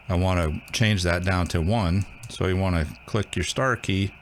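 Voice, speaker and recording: A man's voice: low-pitched, a little hiss or hum. A man hosting a casual hands-on how-to, talking informally and thinking aloud as he demonstrates each step. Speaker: host of a radio how-to channel.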